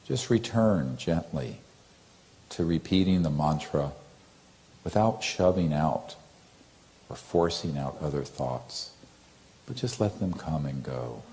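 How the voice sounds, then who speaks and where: Very deep, slow-spoken male meditation guide. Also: a little hiss or hum. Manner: gentle, calm, soothing. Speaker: meditation teacher.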